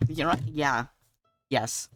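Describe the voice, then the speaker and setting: A woman's voice: extremely low pitch, slow, far from the mic, faint music behind. A woman streamer giving laid-back, jokey, casual reactions while playing a game.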